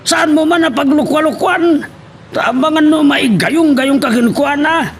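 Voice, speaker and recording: A man's voice: very high voice, unhurried, slight background noise. A man with an Ilocano accent acting an exasperated, scolding middle-aged father in an amateur audio drama.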